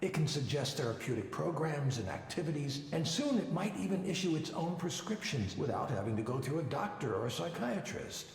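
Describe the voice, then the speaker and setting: An actor playing a confident male psychologist giving a measured, didactic conference talk in a visual novel game. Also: fairly high pitch, sing-song, vocal fry. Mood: critical, assured. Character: confident male psychologist, former tech lead, lecturing an audience. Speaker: conference speaker. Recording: echoey hall reverb, faint music behind.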